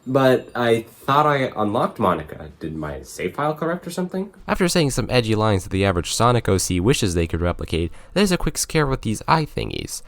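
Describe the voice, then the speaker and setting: A man's voice: fairly low pitch, big pitch swings, fairly quick, few pauses, a bit bright, no echo. A man narrating a scripted video game review, with sarcastic, joking asides.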